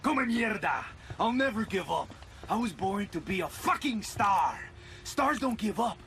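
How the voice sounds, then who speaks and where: Young game cartel gunman, very high strained pitch, wild swoops, defiant, noisy scene. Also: big pitch swings, a little slow, creaky, full character voice. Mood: frantic defiance. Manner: brash, swearing, shouting defiance. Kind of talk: scripted game action dialogue.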